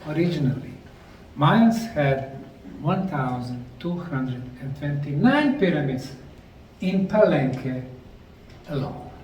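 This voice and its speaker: Slow, distant man guiding a tour. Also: on the high side, animated, a little mellow, muffled recording, slight background noise. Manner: slow, deliberate tour-guide explaining. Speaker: documentary presenter and guide. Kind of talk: on-location documentary narration. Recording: roomy, distant mic with faint outdoor ambience.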